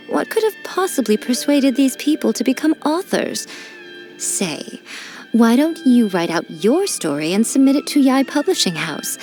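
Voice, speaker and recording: An actress voicing a sly, elegant fox-spirit shrine priestess in a game, teasing and playfully condescending. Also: female voice, mid-range voice, a little mellow. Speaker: game character. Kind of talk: studio game voice lines.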